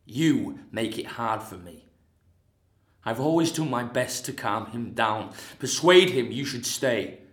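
A young man in his twenties playing a scornful, exasperated ancient Greek hero in a loud, lecturing monologue, recorded in a roomy space. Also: medium pitch, animated, slow pace. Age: 20s. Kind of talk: drama school monologue. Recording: roomy echo.

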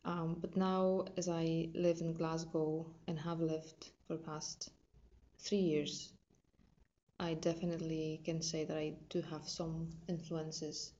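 Woman in her twenties, Polish accent, native Polish speaker, hesitant casual talk, low flat voice, dull lo-fi recording. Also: takes it slowly. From Poland. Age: 20s. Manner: hesitant, many ums and restarts. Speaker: drama student.

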